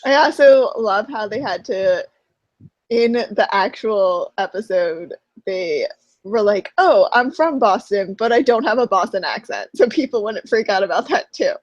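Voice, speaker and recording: A woman's voice: medium pitch, lively intonation, unhurried, no room sound, highs cut off. A young woman co-hosting a casual TV recap chat, talkative and self-deprecating, with plenty of fillers. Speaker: co-host.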